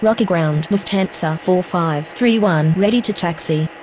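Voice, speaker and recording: A woman's voice: on the low side, a little slow, a little mellow, a little hiss or hum, close to an everyday voice, low-quality recording. A woman playing a businesslike airline radio voice, giving formal, clipped scripted phraseology. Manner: formal, procedural. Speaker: pilot and air traffic controller voices.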